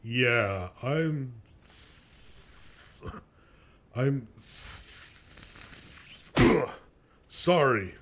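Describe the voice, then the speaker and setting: A man's voice: mid-range voice, expressive, very slow, long pauses, a bit bright. A man playing a grudging, dry wrestling co-commentator in an amateur web cartoon. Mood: reluctant. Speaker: sports commentator.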